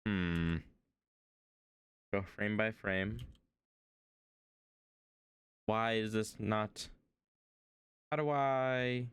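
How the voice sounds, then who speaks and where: A man's voice: on the low side, steady tone, drawn-out words, pauses often, a bit bright, smooth, dry recording. A young man streaming as he reacts to a video, talking casually, rambling and hesitant, with lots of fillers.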